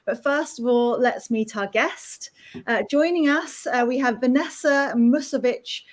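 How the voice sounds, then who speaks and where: Woman, co-host, friendly, fillers, slightly high voice. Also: unhurried. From United Kingdom. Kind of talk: casual interview hosting.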